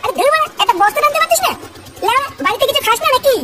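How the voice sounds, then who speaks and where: Very squeaky, brash cartoon boy. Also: animated, very fast. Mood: mocking, bossy. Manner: brash, crude, mocking. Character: cheeky, foul-mouthed, scheming cartoon boy with squeaky voice. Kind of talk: comedy cartoon dub. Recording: clearly noisy cartoon soundtrack.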